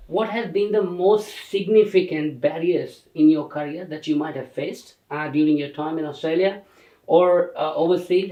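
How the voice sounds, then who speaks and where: A man's voice: on the high side, warm tone, dull audio. A man from South Asia hosting a talk show, asking guests questions with frequent hesitations and filler sounds. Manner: hesitant, many fillers and back-channel hums. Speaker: show host. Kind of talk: interviewing guests. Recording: echoey room, distant mic.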